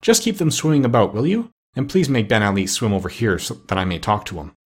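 A man voicing a kindly but firm middle-aged male doctor who speaks gently and sternly. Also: fairly low pitch, big pitch swings, quick pace, husky.

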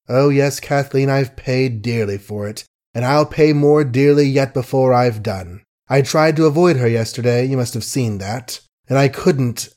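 Storybook lovesick young gentleman, self-mocking and impassioned, voiced by a male reader. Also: medium pitch, lightly acted. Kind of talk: audiobook character voice.

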